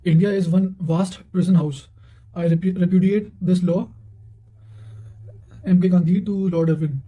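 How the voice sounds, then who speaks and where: A man's voice: sits high, fairly even intonation, normal speed, warm tone, poor audio. A man reading quotations and study notes aloud in a formal manner, occasionally stumbling over words.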